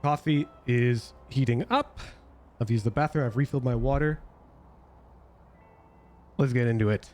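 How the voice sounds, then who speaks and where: A man who is losing his voice, excitedly commentating a game review with laughter and swearing. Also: mid-range voice, normal speed, pauses often, faint music behind. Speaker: streamer reviewing gameplay. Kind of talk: casual gameplay commentary.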